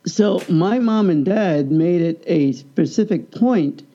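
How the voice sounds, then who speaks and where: A man, co-hosting a podcast as a lively storyteller who laughs often and keeps checking in with "right?". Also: sits high, takes it slowly, lo-fi sound. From Kansas, United States. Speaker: podcast co-host. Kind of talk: casual chat.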